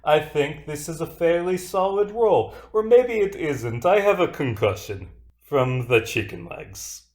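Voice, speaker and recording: A man's voice: fairly high pitch, animated, a little slow, a little mellow. A man hamming up a cannibal French chef. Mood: hammy. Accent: put-on French accent. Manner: talks of himself, hammy and flustered.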